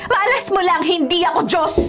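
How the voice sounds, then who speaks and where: Very high-pitched, furious Filipino daughter. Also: female voice, normal speed, music in the background. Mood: bitter, unforgiving. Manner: scolding, accusing, emphatic. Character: bitter, furious grown daughter scolding her mother. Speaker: radio drama actor. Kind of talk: Filipino radio drama acting.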